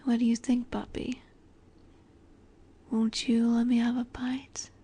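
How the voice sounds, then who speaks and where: Casting: actress as a seductive vampire heiress for intimate audio roleplay, mellow and breathy, unhurried, teasing, often whispering, softly possessive. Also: female voice, a little high, little pitch movement, heavily acted. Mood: seductive, gentle. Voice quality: soft, breathy.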